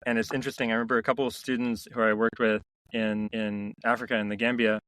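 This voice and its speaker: A middle-aged man, a company founder, telling stories in a relaxed interview, reflective and full of restarts. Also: on the low side, fast talker, smooth, dry recording, poor audio. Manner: reflective, many restarts and "you know" fillers. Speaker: founder and CEO, podcast guest. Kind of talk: casual interview storytelling.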